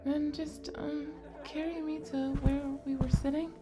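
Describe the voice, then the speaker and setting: A woman playing a shy, sweet, nerdy young goblin girl in an ASMR romance roleplay, speaking softly and hesitantly with bashful stammers. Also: high-pitched, flat delivery, a little slow, over music, crowd noise. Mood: flustered, embarrassed.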